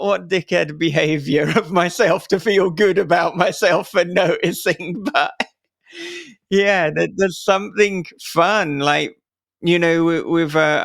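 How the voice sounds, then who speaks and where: A man's voice: fairly high pitch, creaky, fairly bright tone. A man hosting a casual interview podcast, chatting in a jokey, self-deprecating way. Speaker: podcast host.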